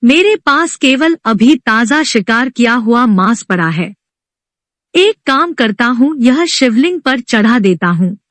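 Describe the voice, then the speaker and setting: A devout, simple-hearted male hunter from a storybook, acted out by a woman. He wonders aloud innocently and very slowly. The voice sits fairly high and sounds dry. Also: expressive, a bit bright, no echo. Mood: puzzled, earnest. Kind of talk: devotional storybook character voice.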